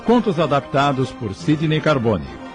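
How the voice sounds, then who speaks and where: A man's voice: mid-range voice, wildly swooping pitch, unhurried. A man announcing a radio story programme in a presentational style. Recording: loud theme music over voice. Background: loud music.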